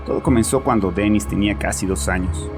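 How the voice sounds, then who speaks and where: A man's voice: fairly low pitch, a little fast, close to an everyday voice. An actor playing a bitter, harsh, troubled father confessing to a therapist in an agitated, defensive way in a horror audio drama. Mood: bitter recounting. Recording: voice over background music.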